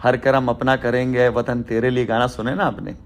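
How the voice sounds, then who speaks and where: A man's voice: medium pitch, flat delivery, normal speed. A man talking as a company boss on a recorded business phone call, rhetorical and repetitive, building points with lists and analogies. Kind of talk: informal business phone conversation.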